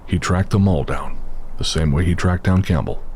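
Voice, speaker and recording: A man's voice: deep voice, moderate pace, darkish tone, slight background noise. A man narrating a western horror story in a dramatic, scripted audio drama style.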